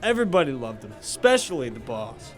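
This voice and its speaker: A man's voice: medium pitch, big pitch swings, a little fast, soft background music, lightly acted. A man playing a friendly nightclub bartender in a noir audio drama, folksy and hesitant as he informs. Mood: confiding. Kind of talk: noir radio drama dialogue.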